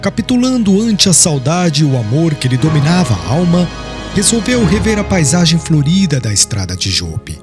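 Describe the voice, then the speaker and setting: A man with a Brazilian accent giving a solemn, measured audiobook narration of literary prose, with phrases falling at the end. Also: a little high, big pitch swings. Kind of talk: scripted literary narration of a religious historical novel. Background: background music.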